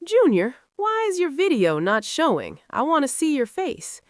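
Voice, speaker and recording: A woman's voice: high-pitched, normal speed, no room sound, clean studio sound, voice pushed up. A woman voicing a proud, dramatic Nigerian mother from the market in a first-person folktale, with a Nigerian accent. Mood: pleading. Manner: dramatic, expressive.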